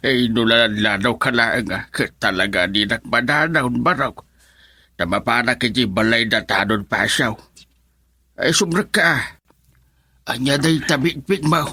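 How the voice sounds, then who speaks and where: Slow, husky old Ilocano father-in-law. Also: medium pitch, sharp tone, dry recording, studio quality. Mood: teasing. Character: jovial older father-in-law, teasing and chuckling. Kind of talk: Ilocano radio drama acting.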